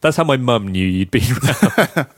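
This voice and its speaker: A young man hosting a casual podcast, his voice croaky, chatting, teasing and laughing often. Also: medium pitch, expressive, a little slow, a bit bright, no room sound, clean studio sound. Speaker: podcast host. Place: flat.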